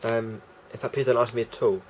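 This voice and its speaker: A man with a croaky voice talking casually to camera as a vlogger, rambling and hesitant with many fillers and restarts. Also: medium pitch, flat delivery, brisk, slight background noise, poor audio.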